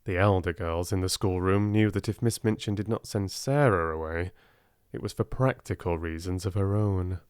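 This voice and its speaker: A man with a British accent reading a storybook as a soft-spoken audiobook narrator. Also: low-pitched, normal speed, clean tone, no room sound, clean studio sound.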